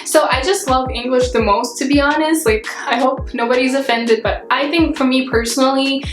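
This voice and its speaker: A chatty young woman from Kazakhstan, Russian her first language, speaking English in a somewhat high voice. She talks casually to a camera at her bedroom desk, full of fillers. The room sounds echoey, with music playing under her. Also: fairly even intonation, moderate pace. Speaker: vlogger. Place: indoor bedroom with vanity mirror.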